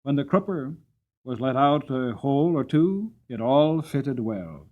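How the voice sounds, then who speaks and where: A man narrates a storybook with theatrical expression. His pitch swings widely from phrase to phrase, and the recording is a little dull and lo-fi. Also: expressive, a little slow. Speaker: audiobook reader.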